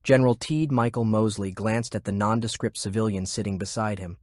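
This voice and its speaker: A man reading scripted fiction narration in a measured, deliberate way. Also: lowish voice, steady tone, a little mellow, no room sound, studio quality. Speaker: audiobook narrator. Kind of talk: scripted narration of a fantasy war novel.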